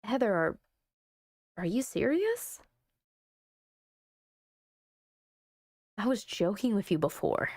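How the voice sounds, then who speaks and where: A woman's voice: mid-range voice, very animated, moderate pace, a little mellow, dry recording, studio quality. Woman voicing an anxious, affectionate ghost girlfriend. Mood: startled.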